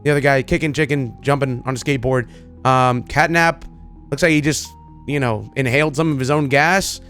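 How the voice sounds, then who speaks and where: A man giving casual, excitable reaction commentary as a gaming video host, with slangy, repetitive chat. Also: medium pitch, brisk. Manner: chatty, excitable, slangy, repeats himself. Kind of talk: casual reaction commentary to game images and clips. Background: background music.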